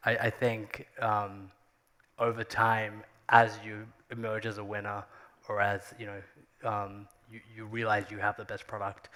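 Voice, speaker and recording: A man with a lowish, very even voice speaks on a panel. He talks conversationally and haltingly, restarting phrases, with the long reverb of a large hall behind his words. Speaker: tech company executive on a panel. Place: on stage at a conference.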